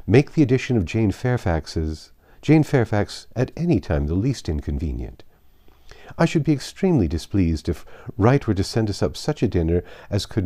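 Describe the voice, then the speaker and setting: A man's voice: low voice, dark tone. A man voicing a pompous, gushing married society woman in a storybook reading, effusive, condescending and emphatic. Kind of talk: audiobook character reading.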